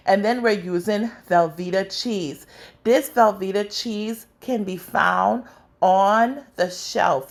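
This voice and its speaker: A woman from the Bahamas with a Bahamian accent, hosting a home cooking tutorial in her kitchen and chatting directly to her viewers. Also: fairly low pitch. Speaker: cooking channel host.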